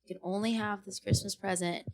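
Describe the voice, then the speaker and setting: An animated young woman from Iran, a guest on a casual chat show. She tells stories with lively swings in her voice and acts out what people said. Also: medium pitch, fairly quick. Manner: animated storyteller, acts out quotes. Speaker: podcast guest.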